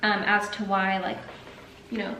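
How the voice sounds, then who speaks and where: A woman's voice: mid-range voice, completely flat delivery, a little slow, sharp tone, echoey room, a little hiss or hum, rough recording. Young woman rambling with lots of "like". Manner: rambling, heavy use of like. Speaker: vlogger. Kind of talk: casual family vlog talk.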